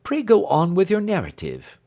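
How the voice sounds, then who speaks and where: A man voicing a keen gentleman detective in a storybook reading, brisk, inquisitive and authoritative. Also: high voice, wildly swooping pitch, voice pushed up, exaggerated voice. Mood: grave.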